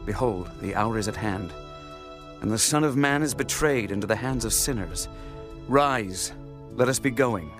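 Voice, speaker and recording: A solemn, calm teacher. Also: male voice, lowish voice, faint music behind. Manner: solemn, measured.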